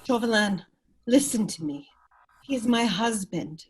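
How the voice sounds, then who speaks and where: A woman's voice: mid-range voice, animated, unhurried, husky, rough recording. A woman playing a desperate aristocratic wife in an amateur radio play, urgent and imploring. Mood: pleading. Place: remote virtual table read.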